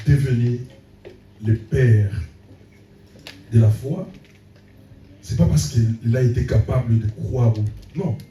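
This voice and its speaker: A man's voice: medium pitch, dark tone, slight background noise. A man preaching a sermon as pastor, punctuating his points with frequent calls of amen. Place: church hall. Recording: echoey church room, voice from a distance.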